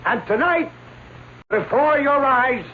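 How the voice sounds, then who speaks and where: An elderly showman escape artist in an old radio drama, working his audience with grand, theatrical patter. His voice sits unusually high for a man. The sound is thin and phone-like, with faint hiss behind it. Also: expressive, slow pace, exaggerated voice. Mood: grandiose. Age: older. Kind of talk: 1940s radio acting.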